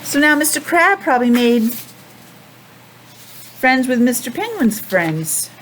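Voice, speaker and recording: Animated woman reading to young children. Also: sits high, a little slow, a little hiss or hum, poor audio. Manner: animated, addressing small children with simple questions. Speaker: teacher reading a picture book to young children. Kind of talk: storybook read-aloud with chatty asides and questions.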